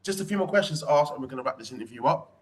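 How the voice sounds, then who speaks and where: A man's voice: fairly high pitch, expressive, rapid-fire. A man hosting a casual interview, encouraging his guest with frequent short affirmations and chatty asides. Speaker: podcast interviewer and host. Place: indoors in a pub.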